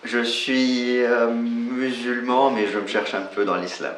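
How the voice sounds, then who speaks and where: A man in his twenties from Tunisia, answering interview questions hesitantly and pausing to search for words. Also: mid-range voice, fairly even intonation, fairly bright tone. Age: 20s. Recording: roomy, slightly distant, with hiss.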